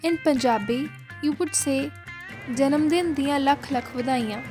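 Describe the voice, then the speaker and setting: A woman's voice: high voice, measured pace. A woman giving a prepared explanation as a guest contributor, over guitar music.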